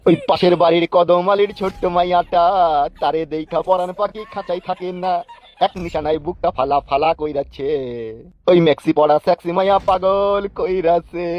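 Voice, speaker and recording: A man's voice: high voice, natural voice. A man playing a bullying, foul-mouthed gangster boss in a comedy cartoon, swaggering and menacing, in dull lo-fi sound.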